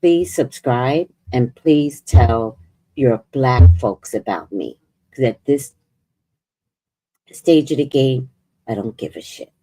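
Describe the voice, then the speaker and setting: Slow-talking outspoken woman vlogger. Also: very deep voice, expressive, dark tone, low-quality recording. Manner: outspoken, profane, drawn-out emphatic delivery. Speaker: vlogger host. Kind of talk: blunt commentary reacting to clips.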